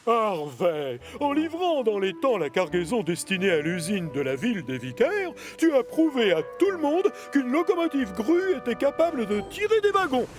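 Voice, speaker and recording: Un comédien jouant un gros chef des chemins de fer, poli mais vite dépassé, dans un dessin animé. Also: male voice, extremely high pitch, sing-song, moderate pace, hardly any pauses, over music. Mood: polite. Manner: polite, flustered. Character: stout railway boss, polite but anxious.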